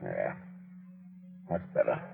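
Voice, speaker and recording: An actor playing a dry, commanding secret agent with wry, clipped delivery in a radio drama. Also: male voice, slow, natural voice. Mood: wry, cool. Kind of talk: 1940s radio acting. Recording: dull old radio recording, music behind.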